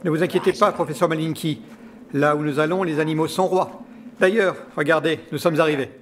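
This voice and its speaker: A man's voice: fairly high pitch, steady tone, brisk, a little hiss or hum, lightly acted. An actor playing a condescending villainous scientist in his forties, formal and courteous in a smug, controlling way, in an audio drama. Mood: pleased, smug. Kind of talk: scripted audio drama acting.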